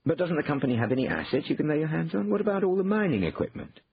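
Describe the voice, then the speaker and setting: A man's voice: on the high side, sing-song, rapid-fire, vocal fry, phone-quality sound, slight background noise, voice pushed up. A man voicing an anxious, tight-fisted shopkeeper in an audiobook, flustered, incredulous and evasive.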